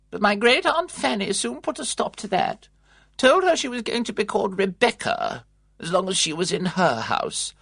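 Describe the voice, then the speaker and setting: A woman's voice: medium pitch, gravelly, no echo, clean studio sound, pitched above the performer's own voice. Disapproving old aunt, imperious and scornful, as a reader plays her. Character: sharp, disapproving elderly aunt.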